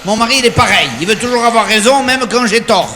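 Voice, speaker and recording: A man's voice: extremely high pitch, quick pace, in a large hall. A man playing a bossy, exasperated woman salon owner, in a live radio comedy sketch. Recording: live radio with audience laughter.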